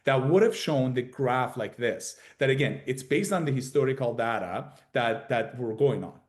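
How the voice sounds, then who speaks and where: A man speaking as a company executive on an earnings call, with halting delivery, often repeating and correcting himself. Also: mid-range voice, expressive. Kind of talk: investor earnings call presentation.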